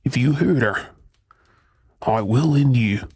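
Guy doing a defiant werewolf in an audio roleplay, really rough husky voice, dry close sound. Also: mid-range voice, takes it slowly, darkish tone, dry recording, heavily acted. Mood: threatening, protective. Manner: threatening, blunt. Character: defiant young male werewolf fighter protecting his lady. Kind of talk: ASMR roleplay audio drama.

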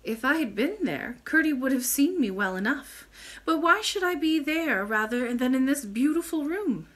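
A woman voicing a kind, wise, ancient grandmother in a storybook, gentle, measured and formal. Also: sits high, lively intonation, pitched above the performer's own voice. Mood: calm.

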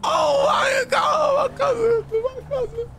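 Male role-play streamer, high voice, repetitive urgent slang, noisy game sirens and traffic underneath. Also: slow pace, shouting. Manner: slangy, excitable, repeats phrases. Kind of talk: in-character live gameplay chatter. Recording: sirens and vehicle noise behind voice. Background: game sirens and car sounds.

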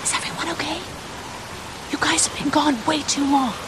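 A resolute young woman played by an actress speaks with hushed, worried urgency in a video game drama. Her voice is high, with clear hiss behind it. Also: steady tone, moderate pace, natural voice. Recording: clear hiss and rain noise.

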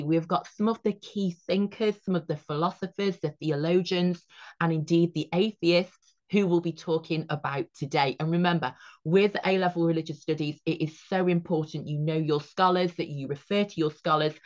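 A young man with a hoarse, strained voice, talking through an exam revision lesson in an upbeat, encouraging way. He speaks from a webcam at his desk in a room lined with books. The sound is dull and lo-fi. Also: sits high. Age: young adult. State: hoarse, recovering from losing his voice. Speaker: revision tutor.

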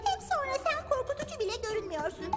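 An actress voicing a cheerful, kind young female bee in a dubbed cartoon, with a bright, childlike voice. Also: very high voice, big pitch swings, rapid-fire, music in the background. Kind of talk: Turkish cartoon dub.

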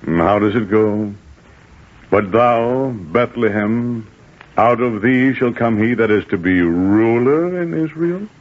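A sceptical Roman dignitary in a 1940s radio drama. He is calm and measured, probing dryly in a mid-pitched voice. The broadcast recording is dull and lo-fi. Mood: probing, knowing.

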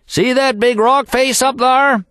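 A man voicing a weathered old mountain packer who yells everything in a folksy rustic drawl. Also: extremely high pitch, steady tone, unhurried, dry recording, studio quality, pitched above the performer's own voice. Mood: hearty yelling.

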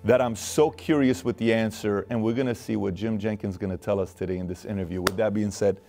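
A man's voice: on the low side, fairly quick. A man in his thirties from Iran, hosting a studio interview, talking earnestly with lots of fillers and gestures. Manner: animated, earnest, with many fillers and hand gestures. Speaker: host. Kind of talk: interview and show intro. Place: studio set with wood-panel wall.

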